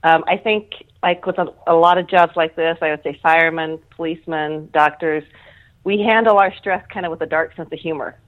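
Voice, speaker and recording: A woman's voice: sits low, fairly even intonation, a little fast. A middle-aged woman being interviewed, explaining earnestly and informally and often rephrasing as she goes. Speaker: interview guest, air traffic control manager. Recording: thin phone-like line.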